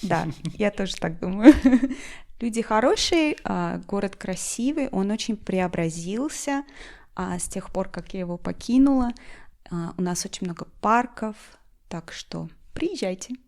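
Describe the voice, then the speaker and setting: A woman from Ufa, a guest in a chat, speaking in a slow, measured, clear way. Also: mid-range voice, lively intonation, a little mellow. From Ufa, Bashkortostan, Russia.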